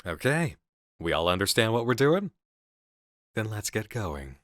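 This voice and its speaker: An intense, seductive horror game developer, played by a man, speaks in a deep voice with close, dry sound. He is assured and deliberate, musing philosophically as if confiding in the listener in an intimate audio roleplay. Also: very animated, a bit bright, no echo. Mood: encouraging, decisive. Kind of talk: ASMR roleplay voice acting.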